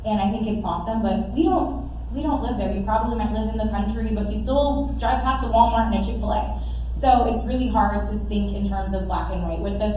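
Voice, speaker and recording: A woman's voice: mid-range voice, mellow, slight background noise. A woman in her thirties from Trinidad with a Trinidadian accent, giving a chatty, encouraging talk to a meeting of parents and repeating herself for emphasis. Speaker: panel speaker at a parent meeting. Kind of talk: casual presentation to parents. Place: meeting room with audience. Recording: echoey room, mic far off.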